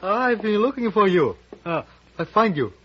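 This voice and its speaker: An eager, cheeky porter in a vintage radio play, chattering deferentially in broken English. The voice is high and very lively, on a dull, noisy old recording. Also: male voice, moderate pace, husky, exaggerated voice. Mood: eager, teasing. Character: excitable Chinese hotel porter man, eager and cheeky. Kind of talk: old-time radio drama acting.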